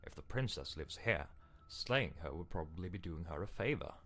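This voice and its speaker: A man voicing a grave, stern narrator of a dark horror tale, measured and matter-of-fact. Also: low-pitched, expressive. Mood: grim, measured. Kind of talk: scripted second-person narration.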